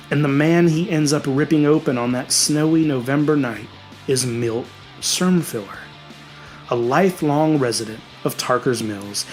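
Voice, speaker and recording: A man reading a scripted horror story as a dramatic narrator. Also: a little high. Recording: music behind the voice. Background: music.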